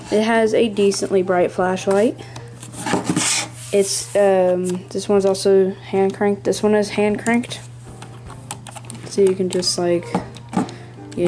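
A hobbyist giving a casual, rambling show-and-tell in a small room at home, speaking haltingly near a handheld camera. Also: medium pitch. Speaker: hobbyist presenting a radio collection. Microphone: handheld camera close by.

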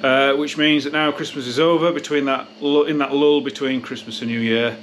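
A man's voice: mid-range voice, fairly even intonation, brisk, a bit bright, slight background noise. A British man giving a casual, matter-of-fact running commentary as a pub landlord in a behind-the-scenes vlog. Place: inside a pub and its cellar.

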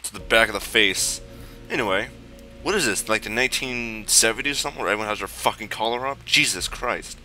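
A man's voice: on the low side, brisk, bright, forceful tone, faint music behind, rough recording. A man giving casual, offhand, profane let's play commentary on a video game. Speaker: gamer commentating a playthrough.

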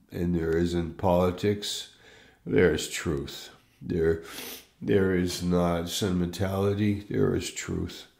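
A man in his fifties, a pastor giving a morning devotional from his home study, reading scripture and praying in a reflective way, pausing and restarting his phrases. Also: deep voice, vocal fry, darkish tone, echoey room.

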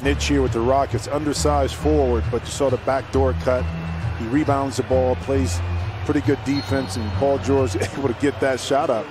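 A man giving color analysis on a basketball broadcast, measured and explanatory. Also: medium pitch, steady tone, over music. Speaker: color commentator.

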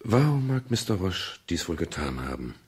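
An actor playing a wry, world-weary private detective in a 1970s German radio crime drama, speaking in a dry, measured and polite way. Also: male voice, low voice, big pitch swings, darkish tone, breathy edge, no room sound, full character voice. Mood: sober narrating. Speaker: lead actor and first-person narrator. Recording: 1970s radio play with music.

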